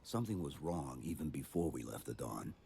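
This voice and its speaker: A very deep, terse super-soldier. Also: male voice, brisk, slight background noise, exaggerated voice. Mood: resolute. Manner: terse, low-key, gravelly calm. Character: stoic armored super-soldier, terse and resolute. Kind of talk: game cutscene voice acting.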